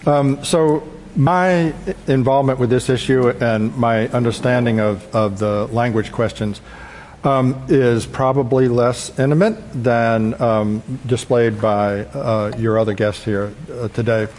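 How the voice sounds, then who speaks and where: A man speaking unscripted as a journalist on a panel, in a halting, hesitant way. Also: medium pitch, steady tone, a little mellow, slight background noise. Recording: echoey hall reverb.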